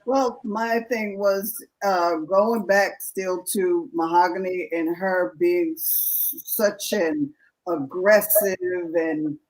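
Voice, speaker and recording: A middle-aged woman guest, a pastor's wife, speaking in a halting way with many pauses and fillers. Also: lowish voice, fairly even intonation, poor audio. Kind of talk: casual candid conversation.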